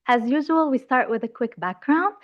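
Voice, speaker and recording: A woman's voice: high voice, animated, normal speed, dull audio. A young woman from Lebanon gives a friendly, enthusiastic seminar talk, telling the story of her own studies. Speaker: graduate student presenter. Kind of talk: seminar presentation.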